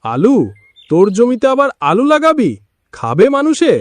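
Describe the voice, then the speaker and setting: Cartoon rival villager male actor: mocking, boastful, loud, high-pitched and swooping. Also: huge pitch swings, normal speed, no echo, heavily acted. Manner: loud, taunting. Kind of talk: Bengali cartoon voice acting.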